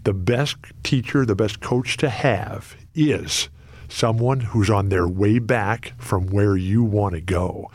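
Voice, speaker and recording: A man's voice: on the low side, slow pace, dry recording. A man in his sixties who stutters, telling stories energetically as an interview guest. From Los Angeles. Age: 60s. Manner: lifelong stutterer, energetic storytelling. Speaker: radio broadcaster, guest. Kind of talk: interview conversation.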